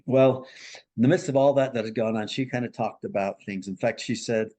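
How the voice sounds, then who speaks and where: A man, a marriage and family therapist, recounting a counseling case as a lively storyteller who acts out the people he quotes. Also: a little fast. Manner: animated storyteller, acts out quoted voices with big pitch jumps.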